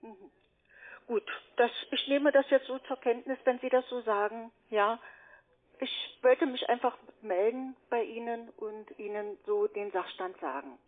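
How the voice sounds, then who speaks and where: A woman's voice: on the high side, fairly even intonation, a little slow. A woman working as a call centre agent, speaking politely but hesitantly and evasively in an unscripted phone call. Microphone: telephone. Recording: narrow-band phone line.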